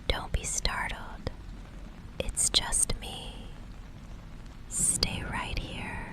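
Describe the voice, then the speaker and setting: A woman acting as a female private investigator who has grown tenderly obsessed. She speaks entirely in a breathy whisper, very slowly, soothing the listener with possessive care in an intimate ASMR roleplay. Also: close to an everyday voice, low-quality recording. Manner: slow, hushed, soothing reassurance.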